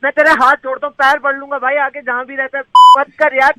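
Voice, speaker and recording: A man's voice: extremely high pitch, flat delivery, fast. Noisy-line man, blunt and agitated. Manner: blunt, agitated street slang. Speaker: prank call victim. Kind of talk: informal phone argument.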